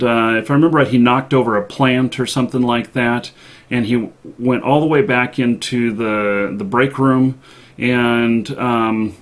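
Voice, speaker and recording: A man hosting a live stream in an unscripted, chatty way, speaking haltingly with long pauses. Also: mid-range voice. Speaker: live show host.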